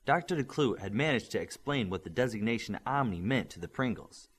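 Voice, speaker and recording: A man narrating an audiobook in an expressive, steady storytelling manner. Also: medium pitch, fairly quick, slight background noise. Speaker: audiobook reader. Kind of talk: scripted narration.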